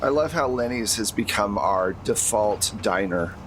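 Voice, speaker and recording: A man's voice: fairly low pitch, lively intonation, unhurried, fairly bright tone, a little hiss or hum. An American man acting as game master, talking casually and conversationally as he narrates a tabletop role-playing game. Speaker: game master of an actual-play podcast.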